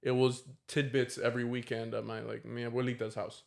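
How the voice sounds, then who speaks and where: Mid-pitched man asking questions. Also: little pitch movement, a little fast, vocal fry, a little mellow. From United States. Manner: questioning, thinking aloud. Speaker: band member. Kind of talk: casual podcast chat.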